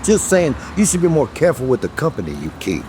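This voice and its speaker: An actor playing a weary, gruff police detective in a horror audio drama, with a wry, guarded, commanding manner. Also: male voice, medium pitch, wildly swooping pitch, quick pace, darkish tone, a little hiss or hum. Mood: wry, needling.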